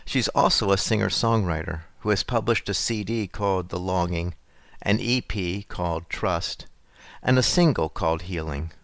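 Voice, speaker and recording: A man hosting an interview show, reading a calm scripted intro and asking measured questions. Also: low voice, vocal fry, no echo. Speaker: host.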